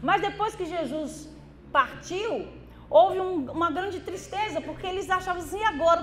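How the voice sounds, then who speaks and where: A middle-aged woman from Brazil with a Minas Gerais accent, preaching a church sermon and building to loud, fervent exhortation with drawn-out emphatic words. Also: very high voice. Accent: Brazilian Portuguese from Minas Gerais. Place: church hall.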